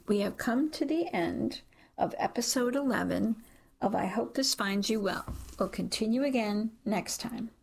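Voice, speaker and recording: A woman reads first-person fiction as a scripted narrator. Her voice is mid-pitched, with a strong creaky fry running through it. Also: unhurried, a little mellow. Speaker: audiobook reader.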